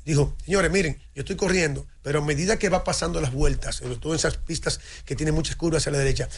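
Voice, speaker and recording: A man talking sports on a studio talk show, rushing through his points at high speed. His voice sits somewhat high, and he stumbles, restarts and repeats himself as he goes. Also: husky. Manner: animated, talks fast with restarts and repeats. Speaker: motorsport commentator.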